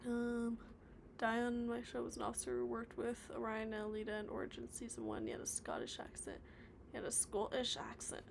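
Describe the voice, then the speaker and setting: Rambling woman streamer, gravelly voice. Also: a little high, lively intonation, fairly quick, slight background noise. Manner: chatty, rambling, trails off into mumbled asides. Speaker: stop-motion animator streaming live. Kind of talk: casual livestream chat reading viewer comments. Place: home workspace, animating on the floor.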